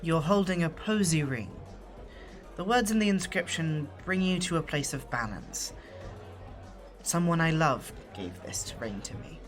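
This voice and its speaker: Woman playing calm exiled shifter lady, formal, kinda low voice, music behind. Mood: gentle. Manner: formal and measured, avoids contractions. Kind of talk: audio drama acting.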